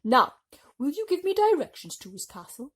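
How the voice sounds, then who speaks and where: A woman voicing a grand, gracious king in a storybook, loud and stately. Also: high-pitched, big pitch swings, moderate pace, pitched above the performer's own voice. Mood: gracious, curious.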